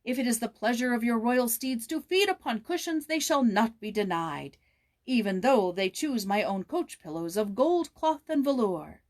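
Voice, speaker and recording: A brave storybook girl, voiced by a woman, pitched up from everyday talk. She is imaginative and kindly, with a dramatic, expressive way of speaking. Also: normal speed, lightly acted. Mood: grandly theatrical. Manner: theatrical, reassuring.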